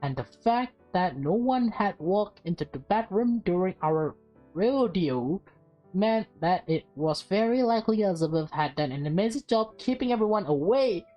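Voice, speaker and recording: A woman's voice: medium pitch, lively intonation, moderate pace, muffled recording, soft background music. A woman reading a visual novel aloud with casual asides, often stumbling and rereading phrases. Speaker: gaming video host, reader.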